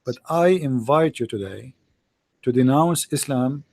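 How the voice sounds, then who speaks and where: A man's voice: mid-range voice, expressive, a little slow, a little mellow, no echo. A man with an Arabic accent, a native Arabic speaker, arguing emphatically as host of a call-in religious debate in repetitive, non-standard English.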